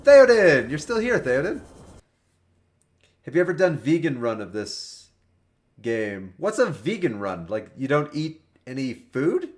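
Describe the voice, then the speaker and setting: A man in his twenties chatting casually with viewers while livestreaming a video game, joking and animated. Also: a little high, a little slow. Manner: animated, joking, talks to chat by name. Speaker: game streamer.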